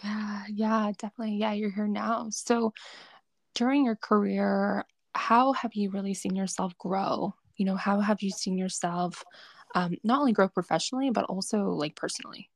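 A young woman hosts an interview podcast, gushing with enthusiasm and leaning on casual fillers. Her voice has a gravelly, vocal-fry edge. The sound is dry and close, with no room around it. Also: mid-range voice, fairly even intonation, moderate pace, no room sound. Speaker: podcast host.

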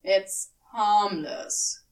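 A woman's voice: medium pitch, steady tone, extremely slow, distant mic, low-quality recording. A woman voicing a laid-back male demon with an offhand, drawling, dismissive manner in an audiobook story.

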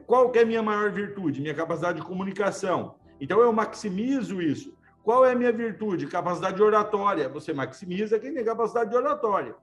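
Man, high voice, casual reflective talk, faint music behind. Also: expressive, quick pace, hardly any pauses. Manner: talks in short restarting phrases, reflective. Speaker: lawyer. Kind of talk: casual conversation.

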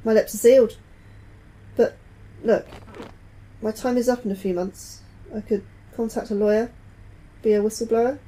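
High, soft-toned anxious scientist, played by a woman. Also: steady tone, normal speed, long pauses, close to an everyday voice, poor audio. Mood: earnest. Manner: earnest, slightly hesitant, technical. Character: anxious, nerdy, earnest astrobiologist on probation. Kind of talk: audio fiction acting.

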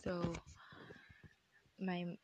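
A young woman with a deep voice, telling viewers a personal story in a chatty way, often calling them guys and dropping into whispered asides. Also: monotone, drawn-out words, a little mellow, lo-fi sound. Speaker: vlogger.

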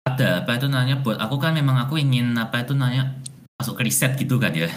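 A man's voice: mid-range voice, animated, rapid-fire, slight background noise. A young man from Indonesia, talking reflectively as an interview guest, with many fillers and some English phrases. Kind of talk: casual interview chat.

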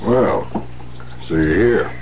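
An actor playing a gruff, cynical older art dealer in a 1970s radio drama, blunt and sardonic with streetwise phrasing. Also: male voice, low voice, animated, slow pace, a little mellow, heavily acted. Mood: brusque. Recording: muffled, hissy 1970s radio recording.

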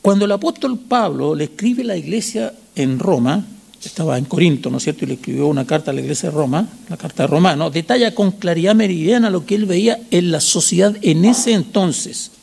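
A man in his sixties with a Chilean accent preaching a sermon, teaching didactically with emphatic repetition and short check questions, in a gravelly, husky voice. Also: sits high, animated, brisk, a little mellow. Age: 60s. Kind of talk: sermon with Bible reading and commentary.

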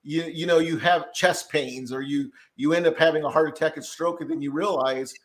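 A man with a slightly higher-pitched voice hosts a relaxed podcast chat. He rambles and restarts his sentences, scattering ums through his talk. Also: moderate pace. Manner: filler-heavy, rambling, frequent restarts. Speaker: podcast host, tax debt consultant. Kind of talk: casual podcast interview.

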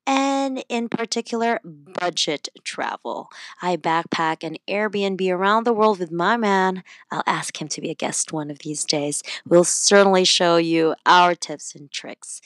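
A woman's voice: on the low side, no room sound. A young woman from the Philippines with a slight Filipino accent, hosting her own podcast in a chatty, upbeat way. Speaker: podcast host. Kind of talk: casual solo podcast chat.

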